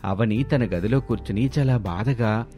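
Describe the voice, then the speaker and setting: A man's voice: on the low side, moderate pace, dark tone. Male storyteller with music underneath. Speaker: narrator. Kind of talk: scripted story narration.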